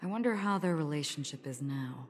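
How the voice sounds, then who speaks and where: A woman's voice: low-pitched, lively intonation, fairly quick, a little hiss or hum. An actress playing a cool, melancholy female wanderer in a video game, pensive and reserved. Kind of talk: voiced video game dialogue. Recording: reverberant game audio with music.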